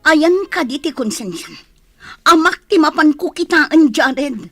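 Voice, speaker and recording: A woman's voice: sits high, lively intonation, a little slow, no echo, soft background music. A woman playing an aggrieved, defiant young wife in a radio drama, pleading and reproachful, with an Ilocano accent. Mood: indignant.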